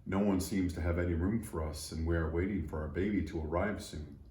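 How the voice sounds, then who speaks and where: A man's voice: low voice, fairly even intonation, fairly quick, warm tone, a little hiss or hum, pitched below the performer's own voice, lightly acted. A man voicing a weary travelling husband in a children's storybook, polite and subdued. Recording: roomy echo.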